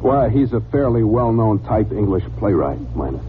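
A man's voice: fairly low pitch, sing-song, normal speed. An actor playing a flippant, flirtatious detective's legman in 1940s radio style, with wry patter. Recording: tinny, noisy old radio recording.

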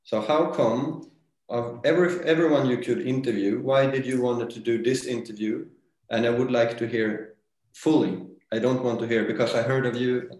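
A man who founded a brand, talking as a guest in a casual interview, halting and restarting his sentences as he thinks. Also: medium pitch, darkish tone. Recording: roomy echo.

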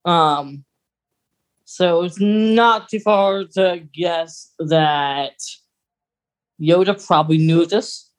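A podcast co-host with a medium-pitched voice chats in an informal way. The delivery is conversational and speculative, as if thinking aloud about lore. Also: very slow, long pauses. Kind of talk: casual chat about Star Wars lore.

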